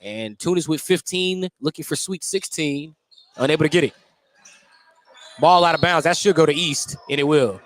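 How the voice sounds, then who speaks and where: A man's voice: a little high, moderate pace, no echo. An American man giving live radio play-by-play commentary as a basketball announcer. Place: indoor high school basketball gym. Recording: clearly noisy live gym broadcast.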